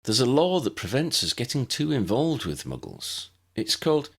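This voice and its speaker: Friendly schoolgirl witch guide, raised pitch, varied intonation, acted by a man for a storybook. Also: wildly swooping pitch, moderate pace. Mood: explaining. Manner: friendly, explanatory. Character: helpful, reassuring older schoolgirl witch, a guide.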